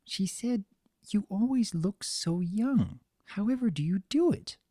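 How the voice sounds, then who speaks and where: Woman voicing a high-pitched, gentle, anxious young pony. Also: expressive, measured pace, no room sound. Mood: earnest, puzzled. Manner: soft, earnest, fretful.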